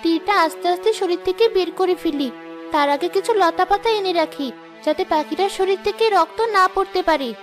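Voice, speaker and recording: Woman playing very high-pitched, earnest village girl. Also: fairly quick, soft background music. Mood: worried, determined. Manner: earnest, gentle. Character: poor, kind, hardworking young village girl. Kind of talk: moral-story cartoon dub.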